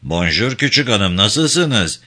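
A man playing a courteous but stern gentleman prisoner in a novel, formal, measured and admonishing. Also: on the low side, very animated, heavily acted.

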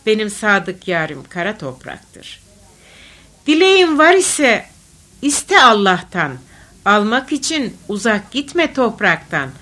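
A woman reciting folk poetry expressively, with measured, declamatory phrasing. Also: mid-range voice, very animated, sharp tone. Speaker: poetry reader.

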